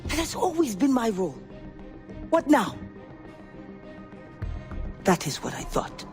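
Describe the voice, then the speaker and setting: Proud spymaster lady, guarded, deliberate, game cutscene w soft music behind. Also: a little high, sing-song. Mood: bitter, measured. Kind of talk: dramatic video game acting.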